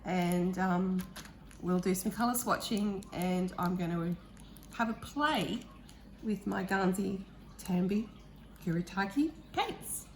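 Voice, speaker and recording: A woman with a croaky voice, chatting casually and eagerly about art supplies as she unboxes them, with the odd um. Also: medium pitch, slow, slight background noise. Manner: chatty, enthusiastic, thinking aloud with ums. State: croaky voice, clearing throat. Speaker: art vlogger.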